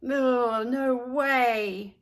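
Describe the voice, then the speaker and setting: A woman's voice: fairly high pitch, fairly even intonation, extremely slow. A mocking young schoolboy from a children's storybook, played by a woman. He is easily disgusted and drags out his teasing in an exaggerated, raised voice.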